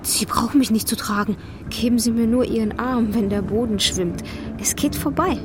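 A woman's voice: a little high, normal speed, over music, close to an everyday voice. An actress playing a proud, weary female engineer in a German radio drama, speaking in a poetic, declamatory manner. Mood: proud, strained.

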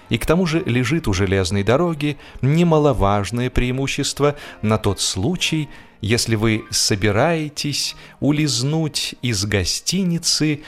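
A man reads a humorous literary narration with expressive, wryly ironic storytelling, as in an audiobook. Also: medium pitch. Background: music.

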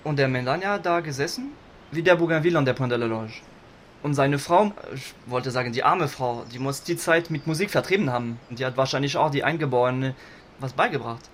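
A man playing a chatty cellist who tells a rambling anecdote, halting and correcting himself in slightly faulty German grammar. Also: medium pitch, a little hiss or hum, close to an everyday voice. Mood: musing. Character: cellist of a string trio, chatty, rambling storyteller. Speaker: musician being interviewed. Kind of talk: casual anecdote telling.

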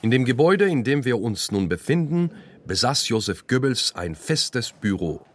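A man narrating a scripted first-person radio documentary, with deliberate, pointed phrasing. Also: mid-range voice, big pitch swings. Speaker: narrator and author of a radio play.

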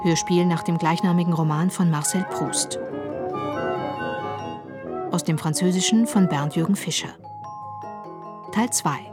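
A woman formally announcing radio drama credits with careful, clear enunciation. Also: lowish voice, a little slow, pauses often. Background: music.

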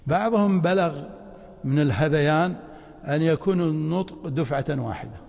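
A man teaching a class on Islamic law in a mosque, quizzing students with quick rhetorical prompts. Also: on the high side. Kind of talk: classroom lecture with questions to students. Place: mosque study circle. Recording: dull lo-fi recording in a reverberant hall.

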